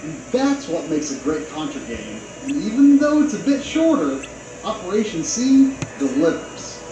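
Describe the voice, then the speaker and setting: A man's voice: on the high side, huge pitch swings, normal speed, music in the background. A man giving a scripted video game review in casual, conversational phrasing. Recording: very echoey playback with clear noise.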